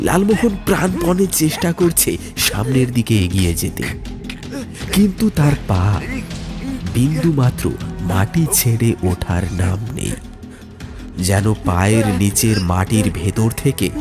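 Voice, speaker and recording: A man narrating a scripted ghost story in a suspenseful, deliberate way with dramatic pauses. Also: mid-range voice.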